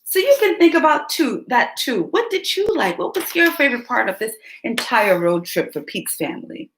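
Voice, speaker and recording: A woman reads a storybook aloud to little kids in a high, very lively voice, slow and teacherly, pausing to ask them questions. Her voice rings in a roomy echo. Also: slight background noise. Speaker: children's story reader.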